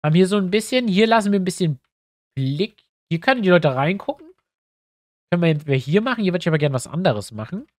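A man's voice: high-pitched, expressive, fast, no echo, studio quality. A man with a stuffy nose, chatting casually to his viewers as he thinks aloud through building a map in a tutorial. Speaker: YouTuber building a tabletop map. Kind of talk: casual screen-recorded tutorial.

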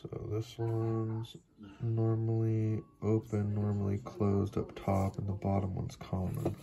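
A man's voice: lowish voice, completely flat delivery, slow pace, gravelly, over music. A man working as a heating technician, talking himself through a furnace repair in a laid-back, muttering way, recorded on a phone in a cramped closet. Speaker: HVAC technician. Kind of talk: hands-on repair walkthrough. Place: cramped mobile home furnace closet, indoors.

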